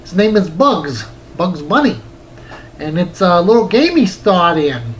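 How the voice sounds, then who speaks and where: A man hosting a retro game review, reading a wordy script in a measured, presenter-like way. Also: high voice, very animated, a bit bright, slight background noise. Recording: music bed under the voice. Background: music.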